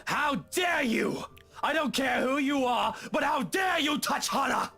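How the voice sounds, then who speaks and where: Man acting high, shouting, outraged lord. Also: lively intonation, a little slow, vocal fry, soft background music, full character voice. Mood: livid. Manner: shouting, forceful, indignant. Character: enraged, frightened British lord defying a ghost.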